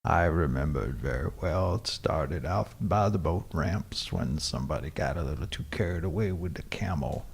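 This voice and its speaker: A man playing a pompous, philosophical pirate-radio host with a gravelly, husky voice and a solemn, wordy manner. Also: a bass voice, normal speed, few pauses, exaggerated voice. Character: grandiose philosophical male pirate-radio host, earnest hippie preacher type. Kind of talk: comic radio drama acting.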